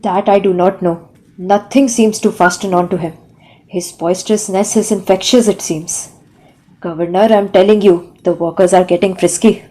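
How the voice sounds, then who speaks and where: Woman as a flustered overseer giving a report in an audio play, soft dark tone, deferential. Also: mid-range voice, steady tone, moderate pace, lightly acted, rough recording. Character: exasperated male overseer reporting to the governor.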